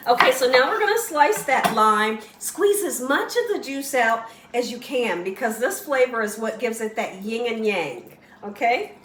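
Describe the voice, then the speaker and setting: A woman hosting a casual home cooking tutorial, slightly hoarse as she loses her voice, chatty and encouraging toward viewers. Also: fairly high pitch, lively intonation, normal speed, few pauses, a bit bright, echoey room, recorded from a distance, slight background noise. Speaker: cooking show host. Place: home kitchen.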